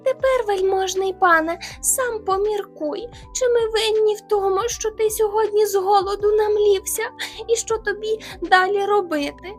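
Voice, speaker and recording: Timid hare, polite and stammering, voiced by a woman, very high, music in the background. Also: moderate pace. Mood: pleading. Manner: stammering, tearful pleading. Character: timid small male hare, trembling and polite.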